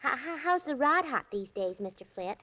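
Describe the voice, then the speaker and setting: A woman's voice: high voice, big pitch swings, moderate pace, pushed and bright, full character voice. An actress playing a scatterbrained, chatty young secretary who muddles her words, in 1940s radio comedy style. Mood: ditzy, curious.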